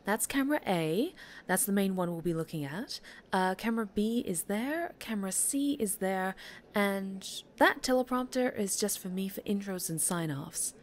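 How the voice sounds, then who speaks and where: Casting: actress as female TV interviewer, medium pitch, polished and encouraging, articulate showy delivery for an audio roleplay. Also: expressive, normal speed, lightly acted. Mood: friendly, brisk. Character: polished, encouraging female TV talk show host. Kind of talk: scripted ASMR roleplay acting, live talk show.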